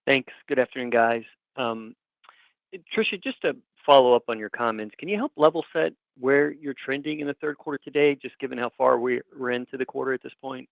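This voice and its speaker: A man's voice: medium pitch, a little fast, gravelly, no echo. Male analyst caller, tinny phone line. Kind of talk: conversational questioning. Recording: thin dry phone line.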